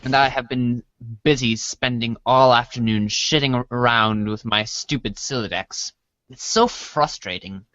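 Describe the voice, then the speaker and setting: A man's voice: medium pitch, a little slow, sharp tone, dry recording, dull audio. A man voicing an earnest, awkward boy in a comedic storybook read-along. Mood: earnest, exasperated.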